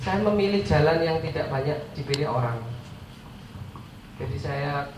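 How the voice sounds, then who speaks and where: A man's voice: fairly high pitch, moderate pace. A man in his forties with an Indonesian accent and a stuffy, nasal voice, giving an informal, jokey motivational talk to listeners he treats as friends. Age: 40s. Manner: jokey, self-deprecating asides, addresses listeners as friends. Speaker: guest motivational speaker. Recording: echoey room, far mic, clear background noise.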